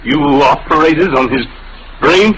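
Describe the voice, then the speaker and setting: A man's voice: a little high, slow, a bit bright, a little hiss or hum, low-quality recording. An actor playing an anguished, indignant man resisting machine masters in an old radio drama, theatrical and agitated. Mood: frightened. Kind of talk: 1950s radio drama acting.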